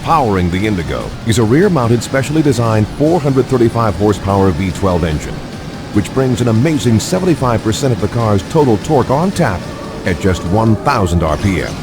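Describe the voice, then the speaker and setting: A man with a low voice narrates a car showcase in a smooth, polished announcer manner, his pitch rising and falling with lively sweep. Faint music plays under his voice in the game video. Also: fairly quick. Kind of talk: scripted promotional narration.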